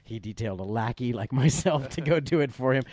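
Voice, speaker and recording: A man telling a casual anecdote about his work as a puppeteer, animated and acting out the voices of people he quotes. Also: medium pitch, a little fast, dry recording, studio quality. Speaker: guest recounting work as a puppeteer.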